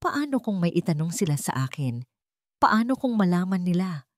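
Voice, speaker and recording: A woman's voice: lowish voice, very animated, moderate pace, darkish tone, no echo. A woman with a Filipino accent voicing a betrayed wife, anxious but insistent, in a story drama. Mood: frightened. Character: betrayed wife, anxious yet determined and bitter.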